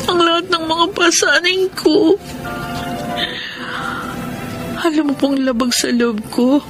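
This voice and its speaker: Burdened young Filipina sister, high-pitched, pleading radio drama delivery over strong music. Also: female voice, poor audio. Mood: anguished prayer. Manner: emotional, pleading. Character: burdened young woman, scolding, anxious older sister.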